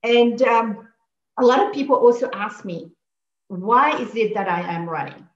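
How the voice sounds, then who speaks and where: A woman's voice: on the high side, measured pace. A woman in her forties from Mauritius with a French-accented English, speaking earnestly and passionately as a political candidate on a video call from a home office. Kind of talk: campaign talk on a video call. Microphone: video call webcam. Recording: echoey, dull lo-fi call audio.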